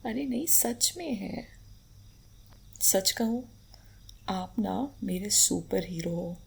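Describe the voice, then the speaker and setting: A woman playing an affectionate, playful, devoted wife in an intimate romantic ASMR roleplay, speaking tenderly in a breathy half-whisper with light teasing. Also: medium pitch, expressive, slow pace, exaggerated voice. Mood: playful, adoring. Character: affectionate, playful, devoted Indian wife adoring her husband. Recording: faint background noise.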